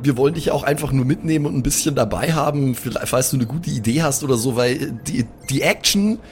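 A man's voice: mid-range voice, fairly quick. A man playing a tabletop role-playing game, improvising in slangy, colloquial banter with some swearing. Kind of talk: improvised in-character banter, casual chat. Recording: music under the voice. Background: background music.

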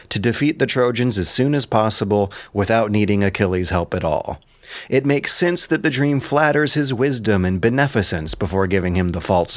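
A man with a fairly deep voice presents a scripted literary commentary. He sounds learned and enthusiastic, leaning firmly on his points. The recording is dry, with very little room sound. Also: no room sound.

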